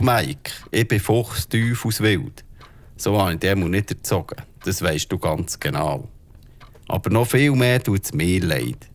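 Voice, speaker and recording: An actor playing a caring but stern father, earnest, with a Swiss German accent. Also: deep voice.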